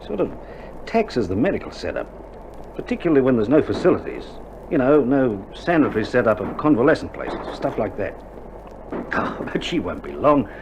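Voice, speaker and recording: An actor playing a weary, dry-humoured Australian army doctor in a wartime radio drama, speaking in a colloquial, matter-of-fact way. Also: male voice, mid-range voice, wildly swooping pitch, moderate pace. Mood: grave, matter-of-fact. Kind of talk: recorded radio drama acting. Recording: dull vintage vinyl recording, loud noise.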